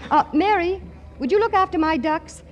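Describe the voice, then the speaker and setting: A woman's voice: extremely high pitch, moderate pace, highs cut off. An actress playing a spirited young working woman with a sardonic, bantering manner in a 1940s radio comedy. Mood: bright, bantering. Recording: noisy, hissy vintage 1940s radio broadcast.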